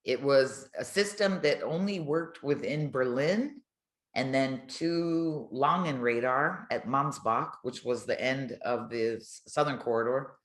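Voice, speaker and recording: A woman's voice: a bass voice, unhurried, reverberant. An older woman, chatting and telling stories as an interview guest in a colloquial way. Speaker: retired air traffic controller, podcast guest. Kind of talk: casual storytelling in an interview.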